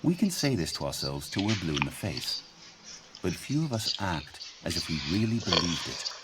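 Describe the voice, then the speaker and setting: A man's voice: sits low, sing-song, normal speed, very noisy. A man narrating an audio log, reading a scripted essay in a reflective, emphatic way.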